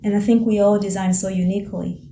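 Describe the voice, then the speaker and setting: Reflective woman with a mellow voice. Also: mid-range voice, fairly even intonation, quick pace. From Tanzania. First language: Swahili. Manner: thoughtful, searching for words, gentle encouraging. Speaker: vlogger. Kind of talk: reflective personal talk to camera. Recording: roomy echo with faint ambient noise.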